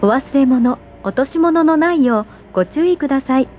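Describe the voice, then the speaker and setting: A woman giving scripted subway announcements in a polite, measured, carefully enunciated way, over a train PA. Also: high-pitched, lively intonation, a little mellow. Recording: train PA with vehicle noise.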